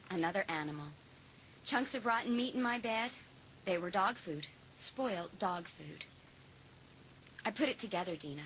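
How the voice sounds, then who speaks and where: Actress as emphatic teen heroine in horror audio drama; lively swooping delivery, forceful edge, slight background noise. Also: female voice, mid-range voice, lightly acted, rough recording. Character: determined, accusing teenage girl confronting a treacherous friend.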